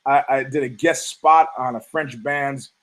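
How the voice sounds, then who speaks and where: A hoarse man hosting a podcast, rambling through a casual, profane monologue full of restarts and asides. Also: mid-range voice, steady tone, a little slow, a bit bright. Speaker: podcast host.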